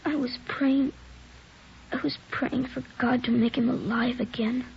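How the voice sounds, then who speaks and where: A woman's voice: on the high side, steady tone, measured pace, creaky, background noise. A woman voicing a frightened nine-year-old boy who pleads earnestly and tearfully, in 1940s radio acting style. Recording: dull old radio broadcast.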